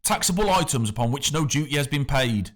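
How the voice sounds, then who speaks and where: A man's voice: mid-range voice, expressive, a little fast, voice pushed up, natural voice. A man voicing a male tax factor who speaks affably with an underlying menace. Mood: smooth menacing. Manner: smooth talk turning to snapping threats.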